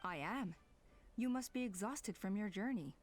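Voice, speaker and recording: A woman's voice: medium pitch, expressive, normal speed, soft background music, lightly acted. A woman playing a level-headed clan elder in a video game, speaking in an assured, direct manner. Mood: matter-of-fact.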